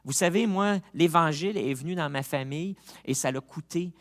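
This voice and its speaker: A man with a Québécois accent, a pastor preaching a sermon who moves from quiet reflection to loud, rising appeals, with chatty personal asides. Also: on the high side, moderate pace. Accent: Québécois French. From Quebec. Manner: chatty asides with fillers and laughs.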